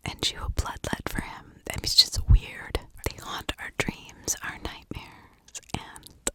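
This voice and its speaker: A woman whispering in an informal ASMR style, telling stories and giving her own opinions in a breathy, conversational way. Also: slow pace. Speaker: ASMR true crime storyteller, channel host.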